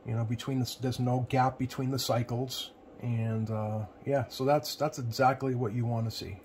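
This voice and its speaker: A man giving a casual hands-on tutorial as a repair technician, plain-spoken and often pausing mid-sentence. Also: medium pitch, steady tone, a little mellow. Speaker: CB radio repair technician presenting. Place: indoor electronics workbench. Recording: clear background noise, home workbench room.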